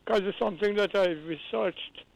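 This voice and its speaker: A high-voiced, gravelly man from Guyana phones in to a talk show. He explains at length, earnest and patient, often restarting his sentences. His voice sounds dry and close. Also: normal speed, no echo, rough recording. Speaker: caller. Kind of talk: call-in radio explanation.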